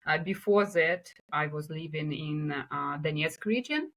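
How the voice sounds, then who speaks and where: A woman's voice: deep voice, animated, unhurried, a bit bright, breathy edge. A woman from Ukraine with a Ukrainian accent, speaking English as a guest on a remote interview, hesitant with many filler sounds. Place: home in Kyiv, remote call.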